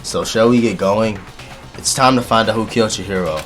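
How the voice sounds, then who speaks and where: A man with a deep voice doing animated, joking let's play commentary and talking straight to his audience. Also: brisk, over music. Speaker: gaming YouTuber.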